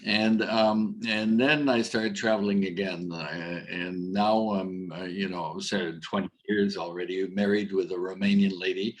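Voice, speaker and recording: Deep-voiced middle-aged man, rambling talk. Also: fairly even intonation, measured pace, hardly any pauses, rough recording. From Algeria. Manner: rambling, many fillers and restarts. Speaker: coach and conference speaker. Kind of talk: casual conference talk. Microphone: video call.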